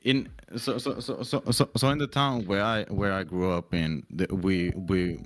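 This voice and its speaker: Young guy w a low deep voice, casual chat, keeps stumbling and repeating words, swears some. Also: steady tone, measured pace, no echo. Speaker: podcast co-host.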